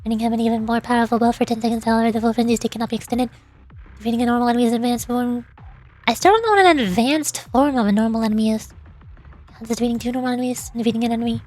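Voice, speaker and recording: A woman's voice: a little high, fairly even intonation, very fast, a little mellow, faint music behind. A woman streamer chatting casually and reading game text aloud in a playful, rambling way. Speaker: VTuber streamer.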